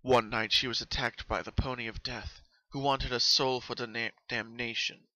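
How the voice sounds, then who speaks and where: A man's voice: mid-range voice, little pitch movement, moderate pace, bright, forceful tone, dry recording, poor audio. A man narrating a horror story as a solemn, dramatic reading.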